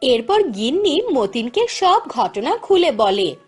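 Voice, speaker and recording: A woman tells a children's cartoon story, her voice very high and lively, taking her time over each phrase. Soft music runs quietly underneath. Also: slow, husky. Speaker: narrator.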